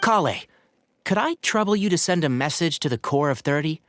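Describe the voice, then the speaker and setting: An actor playing a calm, sensible young male scholar in a video game, polite, articulate and gently authoritative. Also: on the high side, brisk, a little hiss or hum. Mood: decisive.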